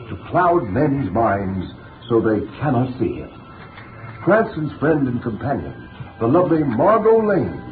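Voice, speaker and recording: A man announcing on 1930s radio from a script, emphatic, polished and dramatic. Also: medium pitch. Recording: tinny echoey 1930s radio recording. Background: music.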